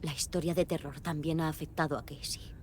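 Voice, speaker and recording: A woman's voice: fairly even intonation, fairly quick, a little mellow, natural voice. An actress voicing a determined, direct investigator and protective mother in dramatic video game dialogue. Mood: resolute. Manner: direct, resolute. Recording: faint background rumble and noise.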